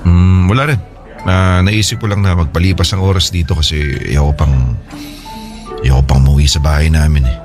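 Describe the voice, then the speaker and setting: A man's voice: low-pitched, fairly even intonation, brisk, music in the background, natural voice. An actor with a Filipino accent playing a troubled, hesitant married man in a conversational radio drama style. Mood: friendly, shy.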